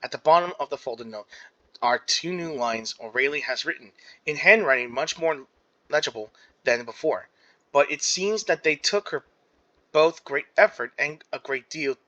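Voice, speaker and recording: A man, a gaming streamer, reading game text aloud dramatically and haltingly, stumbling and correcting himself. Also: a little high, pushed and bright, low-quality recording.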